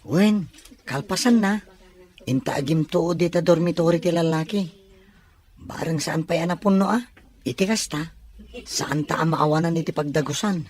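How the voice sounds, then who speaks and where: A teasing, friendly young Filipino student lad acted out by a woman in an audio drama. The pitch is very low for a woman, with a chummy way of talking. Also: normal speed, long pauses, a little mellow, close to an everyday voice. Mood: matter-of-fact. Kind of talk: amateur Ilocano audio drama acting.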